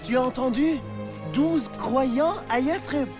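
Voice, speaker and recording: A man playing a steady, devout follower in a French-dubbed animated historical drama, hushed and reverent in manner. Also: very high voice, slow pace, music in the background. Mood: concerned, curious. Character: steady, devout male companion of a prophet.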